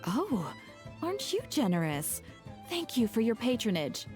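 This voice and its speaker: An actress playing a chatty, wry bookshop clerk in a video game cutscene. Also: female voice, fairly high pitch, huge pitch swings, measured pace, darkish tone, soft background music.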